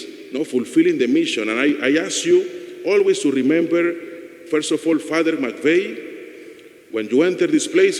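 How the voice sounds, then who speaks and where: A man, a parish priest whose first language is Spanish, speaking accented, halting English to his congregation in a church. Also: fairly high pitch. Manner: halting non-native English with "no?" tags. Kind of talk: homily and informal remarks to a congregation. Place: inside a church. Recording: echoey church with long reverb.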